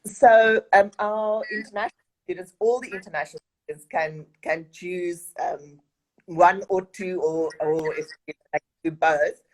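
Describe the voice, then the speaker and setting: A middle-aged woman with a South African accent and a deep, low-set voice. Afrikaans is her mother tongue, and she answers questions haltingly, full of fillers and false starts. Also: poor audio. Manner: hesitant, many fillers and restarts. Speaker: resident director of study abroad program. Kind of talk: interview answers.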